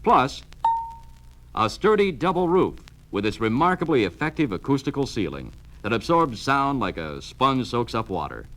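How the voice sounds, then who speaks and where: A man's voice: lowish voice, a bit bright. An American man reads scripted promotional film narration in a punchy, emphatic salesman's delivery, from a vintage 1970 record. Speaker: narrator of a car dealer training film. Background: music.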